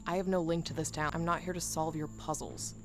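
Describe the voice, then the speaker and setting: An actress playing a sardonic, stubborn bounty hunter in a game, brusque and sceptical. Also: female voice, low voice, little pitch movement, brisk, over music, natural voice. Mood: irritated, wary. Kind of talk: visual novel game character acting.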